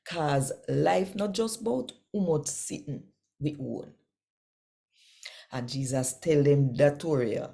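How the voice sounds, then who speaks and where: A woman with a Jamaican accent who hosts and reads scripture expressively, addressing listeners directly and deliberately. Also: deep voice, gravelly, mellow. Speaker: channel host and scripture reader. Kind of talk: expressive scripture reading and channel presenting.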